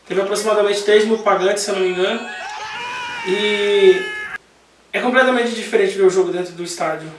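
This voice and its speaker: Chatty high-voiced Brazilian man, echoey room. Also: far from the mic. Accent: Brazilian Portuguese. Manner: chatty, jokey asides, laughs at himself. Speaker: host. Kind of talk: casual chat to camera. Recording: echoey room, voice a bit off-mic.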